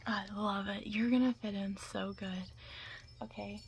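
A woman's voice: medium pitch, steady tone, measured pace, vocal fry. Whispering young woman, noisy ASMR recording. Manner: casual, chatty, reassuring makeup artist patter. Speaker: ASMR roleplay performer. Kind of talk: ASMR roleplay, whispering and soft talk.

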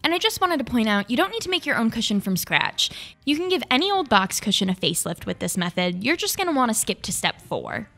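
A woman's voice: fairly high pitch, big pitch swings, quick pace, vocal fry, sharp tone. A young woman hosting a craft tutorial, reading scripted step-by-step instructions. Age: young adult. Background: background music.

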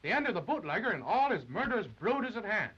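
A man's voice: high voice, big pitch swings, fast talker, a bit bright, slightly rough, lo-fi sound. A man narrating a 1930s newsreel in a dramatic, emphatic, declamatory style, on an old film soundtrack.

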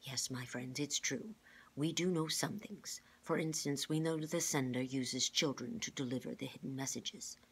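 A woman voicing a grave, patient male scientist in a storybook, explaining in measured, formal words. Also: very deep voice, husky, heavily acted. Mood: calm.

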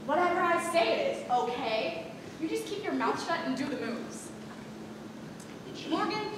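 A woman's voice: very high voice, big pitch swings, moderate pace. A young woman on stage in a school play, playing a bossy, power-drunk teenage stage manager, domineering, curt and sarcastic. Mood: curt, dismissive. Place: theatre stage, filmed from the audience. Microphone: far camera. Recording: distant, echoey, noisy theatre hall recording.

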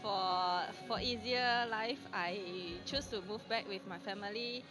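Slow-spoken Malaysian woman, local. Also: mid-range voice, music in the background. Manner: laughing, unhurried, with pauses. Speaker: local resident, interviewee. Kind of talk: casual street interview.

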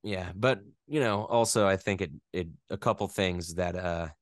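A young man from the American West Coast with a low, smooth, resonant voice. He talks in a rambling, animated way and often laughs at himself. The recording is dry and close. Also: normal speed, no echo. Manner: rambling, animated, self-deprecating. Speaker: voice actor guest. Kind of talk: casual interview chat.

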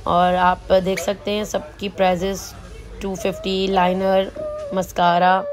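A woman's voice: mid-range voice, completely flat delivery, takes it slowly, a crowd in the background. A woman vlogger casually narrating a walk through a big supermarket, chatting easily and reading out prices as she goes. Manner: chatty, mixes English words and prices into her talk. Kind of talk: casual shopping vlog narration. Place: large supermarket, indoors.

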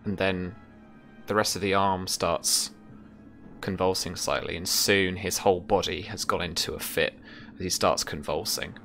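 A man in his thirties narrating an improvised tabletop horror game as game master, describing things in halting phrases. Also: sits low, steady tone, fairly bright tone, faint music behind.

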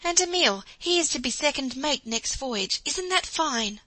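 A woman's voice: high-pitched, animated, moderate pace, pushed and bright, no room sound, voice pushed up. A woman voicing an affectionate middle-aged mother who talks in an eager, gushing way.

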